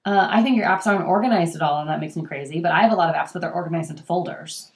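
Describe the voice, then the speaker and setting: A young adult woman, a daughter, co-hosting a podcast in relaxed casual chat while a little congested. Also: fairly low pitch, very fast. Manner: relaxed, easygoing, fillers like "like" and "yeah". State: congested, under the weather. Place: same room as co-host, home recording.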